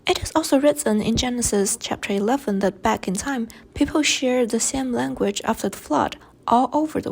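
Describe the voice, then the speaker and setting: A young woman whose first language is Chinese, reading a class presentation in a careful, measured way with light breathy passages. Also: fairly high pitch. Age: young adult. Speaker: student presenter. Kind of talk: read class presentation narration.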